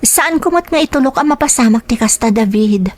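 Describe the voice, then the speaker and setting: Woman playing a high-voiced, anxious young girlfriend. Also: expressive, brisk, a little mellow. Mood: pleading, worried. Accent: Ilocano Filipino. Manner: pleading, apologetic. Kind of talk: dramatized Ilocano radio drama acting.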